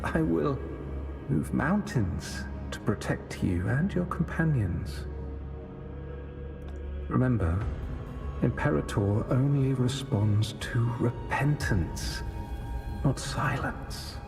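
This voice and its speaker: A slow, echoing zealous inquisitor. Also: male voice, medium pitch, lively intonation, gravelly. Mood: grave, commanding. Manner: solemn, measured, sanctimonious. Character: stern, zealous male inquisitor acolyte offering mercy. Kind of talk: scripted video game dialogue. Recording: long hall reverb, music behind.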